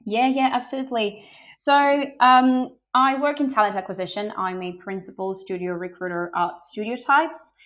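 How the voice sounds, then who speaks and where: An enthusiastic young woman from Bulgaria, raised in Greece, talks openly about her work as a game industry recruiter. Her voice sits at a middle pitch, and she fills her pauses with um. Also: fairly bright tone. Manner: open, enthusiastic, frequent ums. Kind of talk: podcast interview answers.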